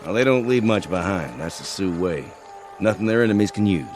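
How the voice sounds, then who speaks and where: A man's voice: low voice, animated, a little fast, over music. A man playing a seasoned, sardonic frontier army scout, dry and folksy, in a radio drama. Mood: matter-of-fact.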